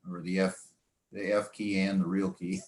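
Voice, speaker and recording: A man giving an informal club talk about his hobby in a rambling, halting, folksy way. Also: low-pitched, flat delivery, a little mellow, a little hiss or hum. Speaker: presenter, amateur radio hobbyist. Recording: echoey room sound.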